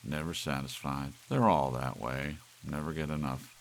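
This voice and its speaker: A man's voice: extremely low pitch, fairly even intonation, normal speed, vocal fry, a little hiss or hum. A man reading an audiobook, voicing a scornful, impatient husband who complains bluntly. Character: Larry, scowling grudging husband, scornful and impatient.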